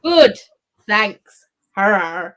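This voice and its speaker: A woman's voice: medium pitch, big pitch swings, drawn-out words, a bit bright. A woman in a casual live book discussion, rambling along in a wry, sweary way. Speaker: book readalong panellist.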